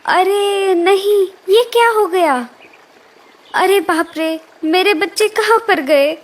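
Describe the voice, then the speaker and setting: A woman plays a small mother bird from a kids' cartoon, with a very high voice. She is plaintive yet cunning and speaks with dramatic, pleading feeling. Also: slow. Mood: distressed. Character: clever, brave mother sparrow, plaintive but cunning. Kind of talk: children's cartoon story dialogue.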